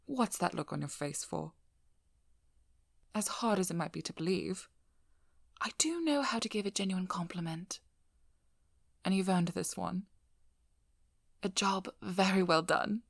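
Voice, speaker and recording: Woman playing a shrewd, low-voiced vampire hunter, creaky and hushed. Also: measured pace, pauses often, no echo. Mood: dry, scrutinizing. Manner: probing, blunt, dry. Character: devious, sharp-tongued female vampire hunter, shrewd and blunt. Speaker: ASMR role-play performer. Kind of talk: ASMR character role-play, speaking softly to the listener.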